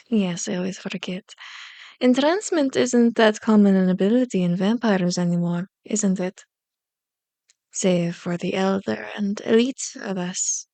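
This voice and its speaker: An ancient, powerful vampire woman, acted by a woman for an ASMR roleplay, speaks slowly and deliberately, savoring each taunt. She is seductive and menacing, teasing her prey in formal phrases. The sound is close and very dry. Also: mid-range voice, vocal fry, warm tone, dry recording. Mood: coaxing, hypnotic. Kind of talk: ASMR roleplay, scripted monologue. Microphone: close microphone, dry recording.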